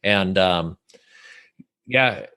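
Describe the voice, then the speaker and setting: A man's voice: deep voice, very slow, pushed and bright. A man from Northern California, a guest in a casual podcast conversation, talking in a rambling way with many restarts and fillers.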